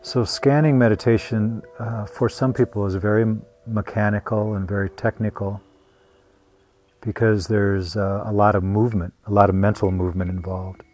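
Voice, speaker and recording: A man leading a guided meditation as a teacher, speaking gently and unhurriedly with long pauses. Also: lowish voice, little pitch movement, soft background music. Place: meditation retreat.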